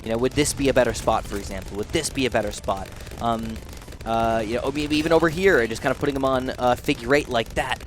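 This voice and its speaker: A young man with a nasal voice giving rambling, excitable commentary while playing a video game. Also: mid-range voice, fast talker, slight background noise. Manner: rambling, excitable, thinks aloud. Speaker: gaming channel host. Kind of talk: casual gameplay commentary.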